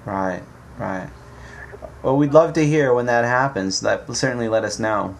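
A man's voice: medium pitch, measured pace, gravelly, a little hiss or hum. A man co-hosting a radio interview, laid-back and casual. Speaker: radio co-host.

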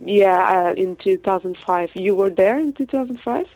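A woman's voice: mid-range voice, moderate pace, no echo. A woman raised in Portugal, a singer, speaking hesitantly with many fillers in a phone interview. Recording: tinny phone line.

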